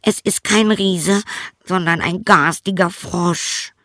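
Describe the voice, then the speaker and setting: A man voicing a young princess from a fairy tale, speaking in a high, plaintive, pleading voice. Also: steady tone, takes it slowly, fairly bright tone, no echo, studio quality, heavily acted. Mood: anxious.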